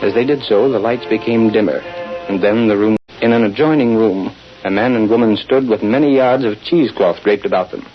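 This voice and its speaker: A man's voice: on the low side, fairly quick. An American man reading scripted narration for an old-time radio drama. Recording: muffled old radio recording with music. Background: music.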